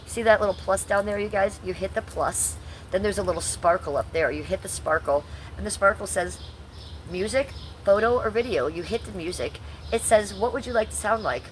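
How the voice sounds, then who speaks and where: A woman's voice: medium pitch, fairly quick, slight background noise, low-quality recording. Blunt, foul-mouthed woman on a livestream. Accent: Ohio. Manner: blunt, profane, sarcastic. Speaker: livestream host. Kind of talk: casual livestream chat.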